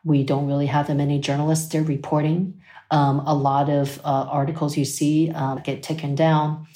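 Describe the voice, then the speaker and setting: A woman from Taiwan speaks as an expert guest in an interview, with a notably deep voice for a woman. She explains ideas in a thoughtful, academic way and often checks in with "right?" and "you know". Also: little pitch movement, normal speed, dark tone. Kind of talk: interview answers.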